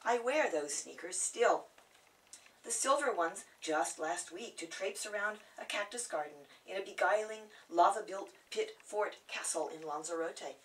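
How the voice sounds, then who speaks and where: A woman's voice: lowish voice, a bit bright, far from the mic, low-quality recording. A woman reciting her own poem in a dramatic, playful way, savouring the words. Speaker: poet reading own work. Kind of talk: theatrical poetry recital.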